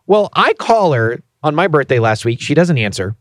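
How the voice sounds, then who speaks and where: Man, 30s, lively pitch, dry close sound, playfully indignant podcast host. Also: normal speed, no echo. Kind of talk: casual podcast chat.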